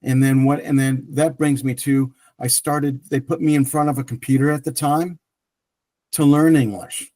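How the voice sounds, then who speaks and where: A man's voice: mid-range voice, fairly even intonation, moderate pace, warm tone, no room sound. A middle-aged man whose first language is French, telling his career story as an interview guest with many restarts and fillers. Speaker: guest, tech entrepreneur.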